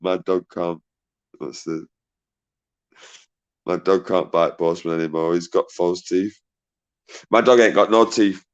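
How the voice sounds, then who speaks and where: A man hosting a casual live stream, swearing freely, laughing a lot and bantering with callers in a loud voice. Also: steady tone, measured pace, pauses often, lo-fi sound. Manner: says he has a deep, loud voice. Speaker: livestream host.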